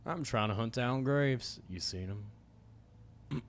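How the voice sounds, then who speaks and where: A man's voice: mid-range voice, a little hiss or hum. A man doing a drawling cowboy villain. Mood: taunting. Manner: slow taunting drawl.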